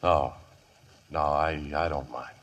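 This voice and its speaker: An American actor playing a nervous, cornered westerner in a radio drama, halting and strained as he stands his ground. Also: very deep voice, steady tone, fairly bright tone, big-room sound, exaggerated voice. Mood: strained defiance.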